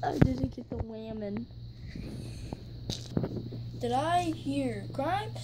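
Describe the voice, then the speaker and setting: A high-pitched voice improvises a crude, slangy puppet show full of giggles. It is captured on a handheld phone in a bedroom, with very heavy noise all over the recording. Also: animated, very slow. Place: carpeted bedroom indoors. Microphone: handheld phone close by.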